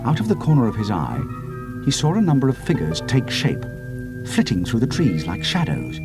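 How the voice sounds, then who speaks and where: Male narrator, middle-aged, dramatic audiobook reading, lively pitch, music bed underneath. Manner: slows down for suspense, drops to hushed tones for atmosphere.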